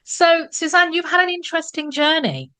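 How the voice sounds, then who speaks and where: A woman's voice: very high-pitched, expressive, normal speed, pushed and bright. A woman hosting an interview show, introducing her guest and asking questions in a conversational style.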